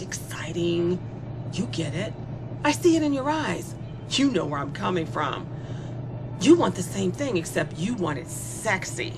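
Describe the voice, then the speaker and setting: Woman acting gleefully sadistic young killer, creaky voice. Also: fairly low pitch, heavily acted. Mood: bored, taunting. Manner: taunting, conversational, punctuated with giggles and drawn-out musing. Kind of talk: dramatic horror monologue in audio fiction.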